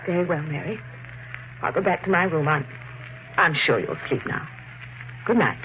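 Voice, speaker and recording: An actress playing the anxious, doubting wife of a suspected killer in a 1950s radio thriller, earnest and melodramatic. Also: female voice, deep voice, expressive, normal speed. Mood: reassuring. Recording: muffled, phone-like 1950s radio, faint noise.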